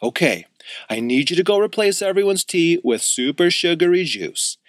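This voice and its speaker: A man voicing an excitable boy who plans a wild party, talking eagerly and in a rush. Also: a little high, normal speed, dry recording, studio quality, voice pushed up. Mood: urgent. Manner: eager, breathless schemer.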